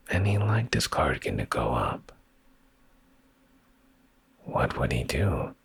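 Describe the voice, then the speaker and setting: Man, bottomless low pitch, thick gravelly creak, hushed breathy tone, intimate ASMR bedtime storyteller, soothing. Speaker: ASMR streamer reading bedtime stories.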